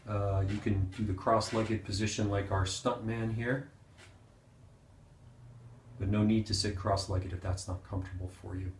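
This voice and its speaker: Low-voiced man guiding meditation, roomy echo. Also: flat delivery, a little hiss or hum. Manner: gentle, unhurried, with pauses. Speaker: meditation teacher. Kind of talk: guided meditation instruction. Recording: roomy, echoing sound.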